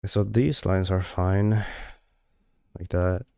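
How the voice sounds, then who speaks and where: Guy on stream muttering to himself while working, slow, lots of "like" and "um", smooth clear voice. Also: low-pitched, dark tone, no room sound, clean studio sound. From Georgia. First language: Georgian. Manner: hesitant, many fillers and trailing thoughts. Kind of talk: thinking aloud while working on a live stream.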